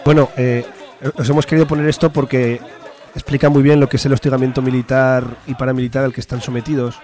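A man's voice: mid-range voice, little pitch movement, quick pace, a little mellow. A man with an accent from Spain, hosting an informal radio commentary in a hesitant, explanatory way. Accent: Spanish, from Spain. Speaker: radio host. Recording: music behind the voice. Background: music.

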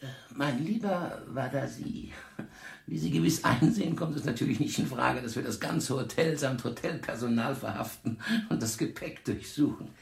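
An actor in a German radio drama playing a sly, domineering police commissioner who talks in a pompous, threatening manner. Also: male voice, mid-range voice, moderate pace, vocal fry, natural voice. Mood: condescending. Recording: echoey room, faint music.

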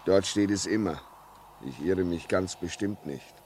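An actor playing a haunted, despairing railway signalman in a halting, brooding manner, in a 1950s German radio drama. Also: deep voice, darkish tone, slight background noise. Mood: haunted insistence. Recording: early 1950s radio play recording.

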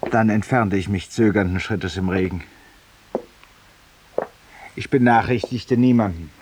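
A male actor playing a weary, ironic, haunted man who confesses to a stranger in a deliberate, courteous, literary monologue, in the style of 1950s German radio drama. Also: lowish voice, natural voice. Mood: haunted, reflective. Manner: literary, deliberate, ceremoniously polite, confiding. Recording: hissy 1950s radio recording, distant voice.

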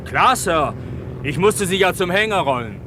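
A man's voice: sits high, very animated, moderate pace, noisy background. A man playing a plain-spoken, respectful airfield ground worker in a German radio crime drama, speaking emphatically. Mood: emphatic.

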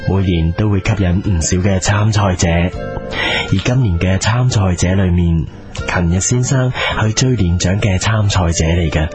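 A low, solemn documentary narrator acted by a man delivers a spoof in Hong Kong Cantonese. The delivery is measured and deadpan, with plucked guitar music clearly underneath. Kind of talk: scripted mock-documentary narration.

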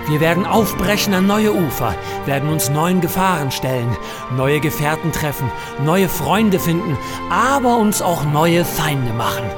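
A man's voice: a little high, animated, unhurried. A man acting a heroic, melodramatic office adventurer in a comedic radio play, with pompous, grandiose delivery. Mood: rousing. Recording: music behind the voice.

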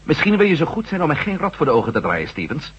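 A shrewd ex-astronaut reporter in an old radio play, pressing hard with a lively, rising and falling delivery. The voice is husky and the recording is dull and lo-fi. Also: male voice, on the high side, quick pace, full character voice. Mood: urgent. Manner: probing, insistent. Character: Matt Meldon, shrewd former astronaut reporter. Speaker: lead character. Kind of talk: 1970s radio drama acting.

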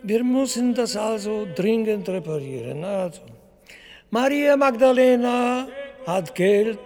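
An actor playing a pompous, scheming cardinal who declaims ponderously in a satirical radio play. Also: male voice, high-pitched, lively intonation, slow, a little mellow, heavily acted. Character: pompous scheming male cardinal chairing a church council. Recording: long church-like reverb, faint music.

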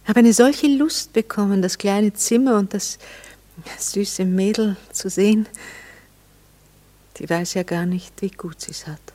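An actress playing a wistful, curious, well-bred married lady in a radio play, with a husky, breathy voice and a hesitant, probing manner. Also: mid-range voice, measured pace, dark tone, close to an everyday voice. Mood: urgent, agitated. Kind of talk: intimate radio play dialogue.

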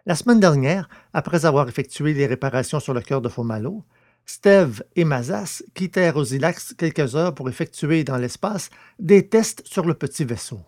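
A man's voice: a little high, lively intonation, normal speed, a little mellow. Man narrating a science fiction audio novel. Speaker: podcast host and narrator.

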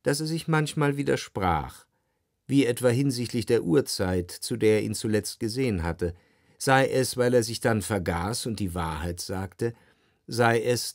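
An actor playing a reflective, well-off man of letters, narrating a literary radio play in a measured, analytical way. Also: fairly low pitch, darkish tone, no echo, studio quality. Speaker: first-person narrator.

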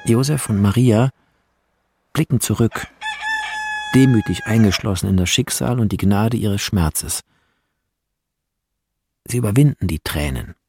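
A man's voice: on the low side, measured pace, long pauses, a little mellow, slight background noise. A man reading screenplay directions as scripted narration in a radio drama.

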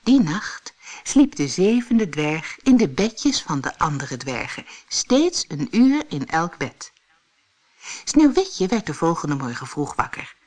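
Female storyteller for children's fairy tales: expressive, unhurried, widely varied intonation. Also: sits low. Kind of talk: scripted fairy tale audiobook narration.

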